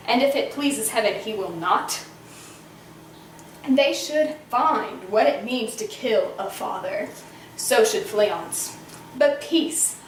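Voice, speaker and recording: A woman's voice: high voice, roomy sound, far from the mic, a little hiss or hum, rough recording. A woman playing a worried nobleman who speaks earnestly and asks questions. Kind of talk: amateur Shakespeare performance.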